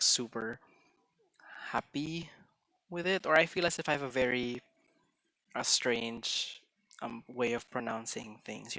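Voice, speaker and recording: A young man talks loosely to his viewers, stumbling through restarts and drifting into quick runs. His tone is bright and forward. The sound is close and completely dry. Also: mid-range voice, no room sound. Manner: chatty, hesitant restarts, mixes in Tagalog phrases; tends to talk fast. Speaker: game streamer. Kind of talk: casual live-stream chat.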